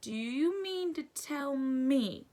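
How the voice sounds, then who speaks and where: A gruff, kindly giant of a storybook as a woman reader puts him on. The voice booms and growls with a quick temper and drawn-out emphasis, bright and forceful, pushed well up in pitch. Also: lively intonation. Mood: thundering anger. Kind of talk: children's storybook read-aloud.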